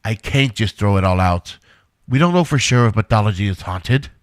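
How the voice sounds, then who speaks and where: A man's voice: lowish voice, lively intonation, normal speed, dry recording, studio quality, lightly acted. A man playing an earnest scientist who defends his invention in an audio drama. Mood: defensive.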